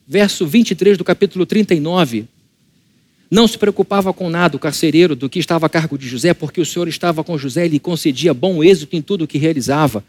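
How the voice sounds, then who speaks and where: A Brazilian male pastor preaches in a lively, conversational way, often turning to the congregation with rhetorical questions. His voice sits slightly high for a man. The sound is close and dry, with no room echo. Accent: Brazilian Portuguese. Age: adult, married. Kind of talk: church sermon.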